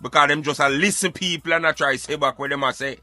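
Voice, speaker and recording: Bright, forceful Jamaican man. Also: fairly high pitch, quick pace, no echo, studio quality, soft background music. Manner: emphatic, preaching street-philosopher delivery. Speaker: radio show host and commentator. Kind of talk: opinionated social commentary in Patois.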